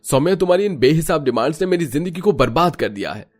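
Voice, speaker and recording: A man's voice: on the high side, expressive, fast talker, soft background music, voice pushed up. A man voicing an exasperated, reproachful husband in a cartoon story, speaking emphatically. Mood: fed up. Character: exasperated husband drained by wife's greed.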